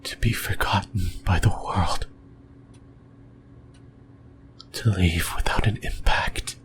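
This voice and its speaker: Creepy male demon, played by a man, whispered ASMR roleplay: possessive, lonely, low-pitched, breathy, halting delivery with long pauses. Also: steady tone. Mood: brooding, menacing. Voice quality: breathy, mostly voiceless whisper. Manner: slow, deliberate, halting phrases with long pauses.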